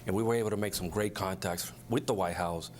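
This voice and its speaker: A man's voice: low voice, fast talker, a little hiss or hum. A man born in the Dominican Republic, a panel guest, speaking plainly and earnestly. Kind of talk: talk show interview.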